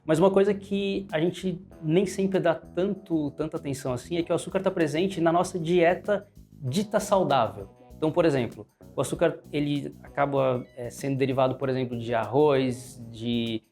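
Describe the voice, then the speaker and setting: A man with a Brazilian Portuguese accent hosting an interview, often filling pauses with "né" and "é". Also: a little high, darkish tone, faint music behind. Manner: frequent "né" and "é" fillers. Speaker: host, pharmacy advertising manager.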